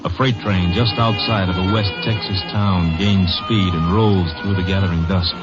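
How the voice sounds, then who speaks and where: A man narrates an old radio crime drama in a deep, grave, factual voice. The recording is muffled, with clear music behind him. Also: fairly even intonation, moderate pace. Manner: grave, documentary-like. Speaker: story narrator.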